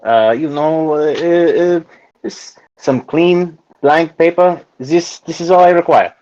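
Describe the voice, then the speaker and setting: A man's voice: fairly high pitch, slow, a little mellow, pitched above the performer's own voice. A man voicing a polite, awkward customer in a put-on French accent, stammering and flustered. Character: polite, awkward young man shopping for paper. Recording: dull lo-fi audio.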